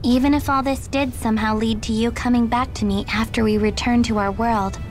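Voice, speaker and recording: Lady doing snooty deadpan little girl, high voice, sharp tongue, game cutscene. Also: a little fast, soft background music, close to an everyday voice. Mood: dry, aloof. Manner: deadpan, haughty, teasing. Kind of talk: video game cutscene acting.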